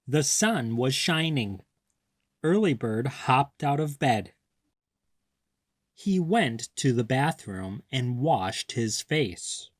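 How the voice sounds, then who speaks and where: A man reading a children's picture book aloud, clearly and expressively. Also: mid-range voice, expressive, takes it slowly, no room sound. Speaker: read-aloud storyteller.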